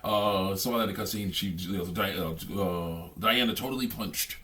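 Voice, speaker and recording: A man with a fairly low voice hosts an informal game reaction. He rambles haltingly, often humming or chuckling between thoughts, on a home recording with faint noise. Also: sharp tone. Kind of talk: casual off-the-cuff reaction commentary.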